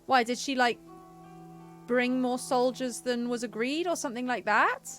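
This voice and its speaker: A woman's voice: on the high side, moderate pace, a bit bright. A woman giving casual, chatty livestream commentary on a video game, playful and given to sing-song exclamations. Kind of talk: casual gaming stream commentary. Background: faint music.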